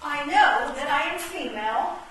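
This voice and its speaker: Radio play, rebel pawn: actress as a forthright, indignant little chess piece, forceful bright voice, far from the mic in a hall. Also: female voice, high-pitched, animated, moderate pace. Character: plucky, indignant female pawn revolutionary. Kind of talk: stage radio-play acting. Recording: echoey hall, distant mic, background noise.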